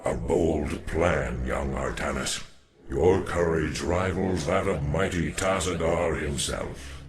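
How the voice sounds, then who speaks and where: A man playing a grave, wary old alien warrior in a video game, speaking solemnly and formally with a gravelly voice. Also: a bass voice, fairly even intonation, slow, over a noisy crowd. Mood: approving. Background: music.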